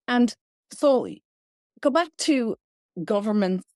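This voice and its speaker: A woman's voice: fairly high pitch, lively intonation, takes it slowly, a little mellow, no echo, studio quality. A woman with an Irish accent hosting a podcast interview, asking casual, chatty questions. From Ireland. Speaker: podcast host.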